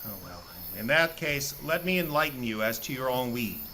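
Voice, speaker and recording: A man's voice: mid-range voice, lively intonation, moderate pace, pushed and bright, slight background noise. An actor playing a paranoid, foreboding woodsman in an audio horror drama, insistent and given to rambling. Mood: smug, ominous. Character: paranoid, ominous woodsman convinced that creatures are coming.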